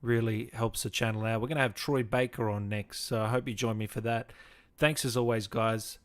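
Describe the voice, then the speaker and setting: A man's voice: mid-range voice, fairly even intonation, moderate pace. A man in his twenties chatting casually as the host of a gaming show. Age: 20s. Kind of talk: casual livestream chat.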